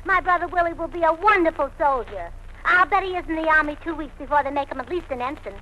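A woman's voice: sits high, lively intonation, fast, bright, forceful tone, low-quality recording. A woman playing a cheerfully illogical, scatterbrained young wife in a 1940s radio comedy, talking in a chirpy, earnest way. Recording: old radio broadcast with hiss.

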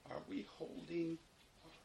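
A man leading a guided meditation in a soothing, instructional manner, repeating short phrases with long pauses. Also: on the high side, little pitch movement, vocal fry, warm tone. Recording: roomy echo, faint background noise.

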